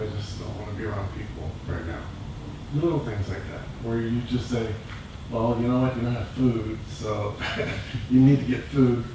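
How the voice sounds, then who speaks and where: Male group participant, plainspoken and reflective, recorded far from the mic in a strongly reverberant room. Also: medium pitch. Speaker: group member sharing in a dharma discussion. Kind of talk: reflective casual personal sharing. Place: meditation group meeting room. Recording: echoey room, distant mic, clear noise.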